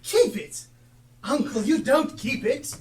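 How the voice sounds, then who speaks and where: A woman's voice: high voice, animated, slow pace, a little mellow. A woman playing a cheerful, good-hearted young nephew on stage, earnest and exclamatory. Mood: earnest, heartfelt. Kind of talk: theatrical stage acting. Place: theatre stage, live performance. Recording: distant live stage recording with noise.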